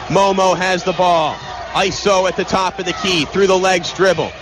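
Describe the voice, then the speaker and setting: Male play-by-play sportscaster, high register, punchy clipped radio calls over heavy crowd noise. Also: fairly even intonation. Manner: vivid, clipped, rapid-fire calls. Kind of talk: live basketball radio commentary. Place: indoor basketball arena. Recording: loud crowd noise behind, live arena broadcast. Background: loud crowd.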